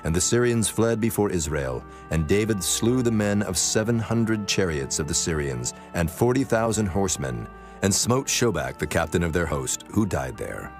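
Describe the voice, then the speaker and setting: Very deep male scripture narrator. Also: expressive, moderate pace. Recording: music bed under the voice.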